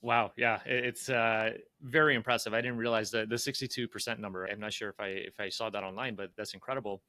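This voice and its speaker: Bright-voiced male interviewer. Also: lowish voice, steady tone, fast talker, no room sound. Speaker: interview host. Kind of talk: conversational interviewing.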